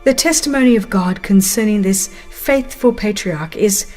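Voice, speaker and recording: A woman reading a religious book aloud as dramatized scripted narration, solemn, earnest and measured. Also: medium pitch, darkish tone, faint music behind. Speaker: audiobook narrator.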